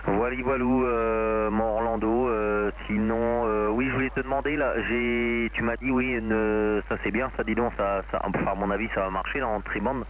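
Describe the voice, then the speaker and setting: A man with a fairly low voice chats over amateur radio. He rambles in a loose, hesitant way, often searching for the next word. The transmission is dull, with faint noise underneath. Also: fairly even intonation, normal speed, hardly any pauses. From France. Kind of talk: casual radio chat. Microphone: amateur radio link.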